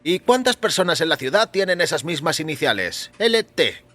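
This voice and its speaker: A man's voice: on the high side, big pitch swings, moderate pace, pushed and bright, pitched above the performer's own voice, full character voice. A man voicing an emphatic, questioning male travelling salesman in an audio story. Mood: incredulous. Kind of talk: audio fiction character voice.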